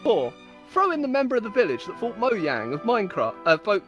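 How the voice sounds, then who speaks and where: A woman's voice: mid-range voice, sing-song, brisk, lo-fi sound, soft background music. A woman giving a playful, mock-solemn dramatic reading of her own comic stories, like a storyteller. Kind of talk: comedic dramatic reading of scripted tales.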